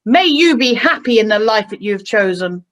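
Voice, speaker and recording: A woman playing a heartbroken young fiancée, earnest and gently reproachful, in an amateur radio play. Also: on the high side, animated, moderate pace. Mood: sorrowful reproach. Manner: earnest, sorrowful.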